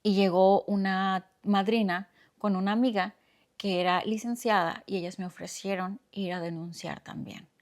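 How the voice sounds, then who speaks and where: A young woman from Oaxaca with a Mexican accent, hosting a podcast and telling her own story in a soft, reflective way with slow pauses. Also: medium pitch, steady tone. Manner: soft-spoken, reflective, speaks slowly with pauses. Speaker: podcast host. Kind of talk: personal storytelling monologue.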